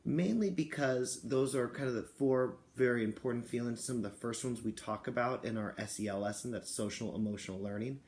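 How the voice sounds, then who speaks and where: A man's voice: medium pitch, a little fast, few pauses, a little mellow, a little hiss or hum. A man speaking as a kindergarten teacher, explaining and reading aloud to young children and parents.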